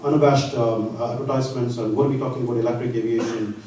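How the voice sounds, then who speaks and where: A man presenting slides at a conference, talking in a halting way with frequent pauses mid-sentence. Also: medium pitch, steady tone, mellow. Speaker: research center presenter. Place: large conference hall. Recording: echoey hall from far off, faint hiss.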